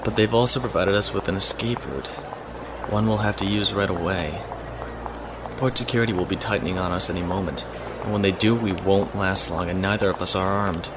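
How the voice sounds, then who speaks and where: An actor playing a resourceful military lieutenant on the run in a science fiction audio drama. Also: male voice, sits low, fairly even intonation, a little fast, noisy background, natural voice. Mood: urgent, planning.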